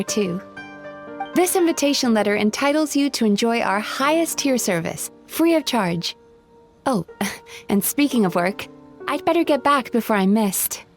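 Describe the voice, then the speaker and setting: A gentle dream-eating yokai. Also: female voice, sits high. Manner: polite, soft, apologetic. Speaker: spa worker and psychologist. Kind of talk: video game voice acting. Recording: game audio with faint music.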